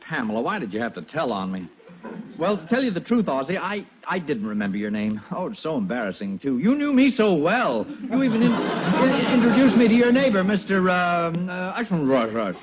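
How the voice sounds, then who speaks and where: A sheepish, forgetful fellow in 1940s radio comedy. His pitch is high and jumps around as he haltingly apologizes. The old recording is muffled. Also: big pitch swings, a crowd in the background. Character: forgetful, apologetic, embarrassed male acquaintance.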